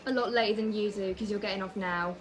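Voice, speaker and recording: A woman's voice: medium pitch, fairly even intonation, fast talker, close to an everyday voice, poor audio. A woman playing a sassy, flirtatious waitress with snappy comebacks in a 1950s radio detective drama. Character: sassy, flirtatious female diner waitress, quick to bristle.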